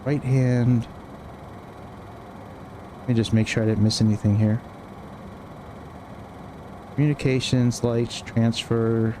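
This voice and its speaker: A male flight sim streamer talks himself through procedures at an unhurried, slow pace. His voice sits at a medium pitch, in short, clipped phrases with a casual feel. Steady cockpit engine noise runs clearly behind him. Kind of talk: casual thinking-aloud checklist walkthrough. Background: cockpit ambience and engine noise from flight simulator.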